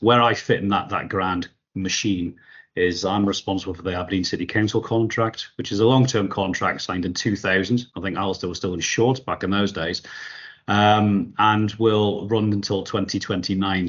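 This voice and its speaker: A middle-aged man, croaky with a cold, giving conversational answers as an interview guest. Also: sits low, fairly bright tone, dull audio. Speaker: podcast guest, operations manager.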